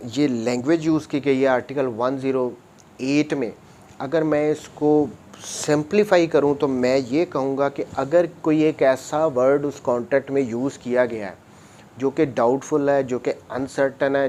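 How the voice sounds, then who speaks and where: A male lecturer with a Pakistani accent teaches at a very measured, slow pace. His pitch sits in the middle for a man. He reads formal English text and explains it in Urdu mixed with English, going back over each point methodically. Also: a little mellow, a little hiss or hum. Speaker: law lecturer. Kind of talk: explanatory lecture, reading statutes aloud and explaining them.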